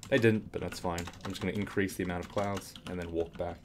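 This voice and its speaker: A man with a slightly raspy voice giving casual commentary on a game tutorial, rambling with fillers and restarts, keyboard clicks behind him. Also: deep voice, fairly even intonation, fast, a little hiss or hum.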